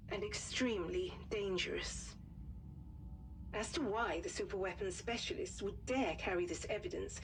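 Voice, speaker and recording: A woman's voice: mid-range voice, noisy background, lightly acted. A woman playing a composed, businesslike wartime intelligence officer, giving a grave, precise scripted mission briefing in a game. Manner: formal, measured, precise. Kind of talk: scripted military briefing narration.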